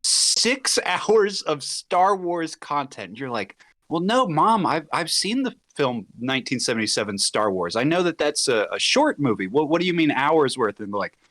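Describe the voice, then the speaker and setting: Animated man guesting on a podcast. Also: fairly high pitch, normal speed. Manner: effusive, acts out imagined dialogue. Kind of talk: casual chat.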